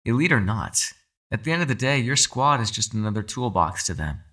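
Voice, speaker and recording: Man voicing a low, crisp-edged manipulative captive entity. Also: sing-song, exaggerated voice. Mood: pointed, persuasive. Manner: measured, formal, probing, quietly condescending. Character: captive mind-controlling anomaly, calm, shrewd, persuasive manipulator. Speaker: voice actor. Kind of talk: ASMR roleplay, dramatic monologue.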